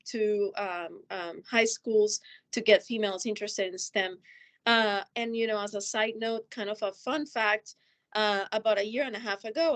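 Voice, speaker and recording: Female executive panelist, Colombian accent, Spanish native, medium pitch, off-the-cuff personal storytelling.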